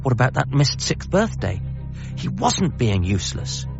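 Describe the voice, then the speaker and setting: An actor playing an eager, apologetic grown-up son in a radio comedy drama, in narration and dialogue. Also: male voice, medium pitch, sing-song, moderate pace, gravelly. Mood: reflective, wondering. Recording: slightly muffled, faint music behind.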